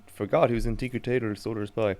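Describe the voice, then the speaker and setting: Low-voiced man, easygoing podcast chat. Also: steady tone, fast, a little mellow, faint music behind. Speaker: podcast co-host. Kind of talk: casual film chat.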